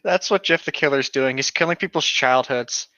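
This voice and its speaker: A man's voice: mid-range voice, moderate pace, sharp tone, no room sound. A young man joking and reading a story as co-host, on a dull lo-fi microphone. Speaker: co-host of a comedic creepypasta reading.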